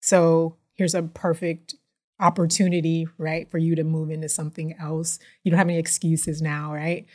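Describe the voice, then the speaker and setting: A woman with a somewhat low voice, speaking as a guest on an interview podcast. She tells her story in a chatty, relaxed way and keeps checking in with a quick "right?". She grew up in Houston and works as a chemist and founder. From Houston, Texas. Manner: chatty, frequently tags sentences with "right?". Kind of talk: casual interview conversation.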